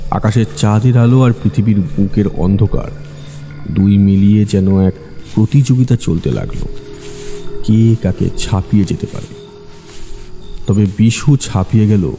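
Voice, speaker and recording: A male audiobook narrator reads a scripted ghost story. His voice is deep and extremely mellow, carried in a long reverb. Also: lively intonation, a little slow, vocal fry, pauses often, faint music behind.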